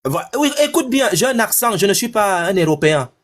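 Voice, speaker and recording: A high-pitched man with a Cameroonian French accent argues in a heated online debate over a video call. He lectures with emphasis and hammers the same phrases again and again. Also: big pitch swings, brisk, a bit bright.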